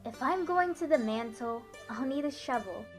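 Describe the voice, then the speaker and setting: A woman's voice: sits high, lively intonation, moderate pace, faint music behind, voice pushed up. A woman voicing a determined young female pony heroine, anxious but brave, in an earnest story reading. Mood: resolute, earnest.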